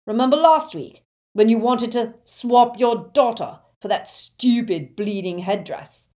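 A woman voicing a cruel male villain wizard with a rough, shouting voice in an audiobook. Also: fairly low pitch, expressive, measured pace. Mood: furious.

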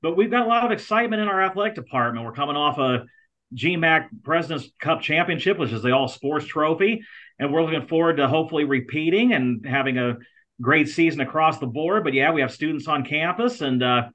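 A man's voice: a little high, brisk, bright, forceful tone. An American man giving conversational sports talk as a guest contributor on a show. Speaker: guest sports contributor, college athletic communications director. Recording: slightly dull, lo-fi sound.

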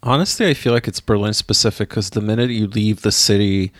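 A man who grew up in Israel, chatting casually on a podcast, pausing often with fillers and restarts. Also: lowish voice, dry recording, clean studio sound. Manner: hesitant, many fillers and restarts. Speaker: podcast co-host. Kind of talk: casual chat.

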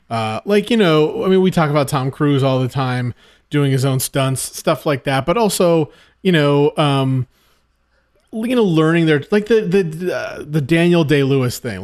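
Rambling man thinking aloud. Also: fairly high pitch. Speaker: podcast co-host. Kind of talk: casual film discussion.

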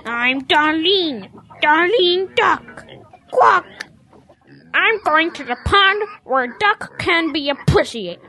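Woman as a bright, high-pitched stubborn little calf. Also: lively intonation, takes it slowly, full character voice. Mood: defiant, playful. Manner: childlike, insistent, quacking. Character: stubborn childish boy calf who insists he's a duck. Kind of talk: children's animal audio drama acting.

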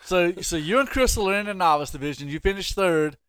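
A man's voice: high voice, lively intonation, fast, a bit bright, smooth, no echo. A man hosting a casual sports podcast, chatting and ribbing his guest. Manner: teasing, ribbing. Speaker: podcast host.

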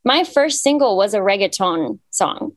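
A young woman from Cyprus tells her story in a chatty, upbeat way, full of "like" and "you know." Her voice sits at an ordinary middle pitch. The recording is close and dry, with no room sound. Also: lively intonation, normal speed, dry recording. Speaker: singer guest. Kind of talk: casual interview chat.

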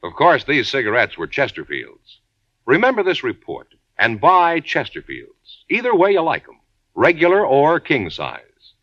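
Bright, lively old-time radio ad announcer. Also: male voice, medium pitch, a little slow, no room sound. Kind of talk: 1950s radio advertisement. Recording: muffled, dry old radio broadcast.

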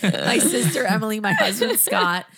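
A woman hosting a casual podcast chat, hoarse and nasal, chatty with quick self-deprecating asides. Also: medium pitch, wildly swooping pitch, a bit bright. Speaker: podcast host.

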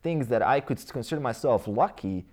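A man's voice: medium pitch, lively intonation, fairly quick, a little mellow. A man in his thirties with a Croatian accent, a native Croatian speaker, talking casually about his past as an interview guest, rambling with many fillers and restarts. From Croatia/Bosnia region. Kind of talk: casual life-story interview.

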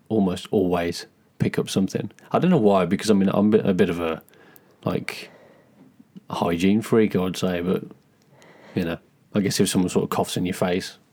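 A man's voice: deep voice, steady tone, fairly quick, a little mellow. A man co-hosting a podcast in casual chat, easygoing and teasing, laughing readily. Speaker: podcast co-host.

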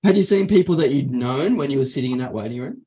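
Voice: medium pitch, lively intonation, fast talker, mellow. An interviewer asking short prompting questions.

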